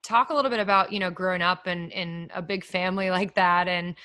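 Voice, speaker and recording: A woman's voice: fairly low pitch, steady tone, fairly quick, pushed and bright. A woman hosting a podcast, upbeat and chatty, with plenty of filler words as she introduces and questions a guest. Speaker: podcast host. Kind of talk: casual podcast interview.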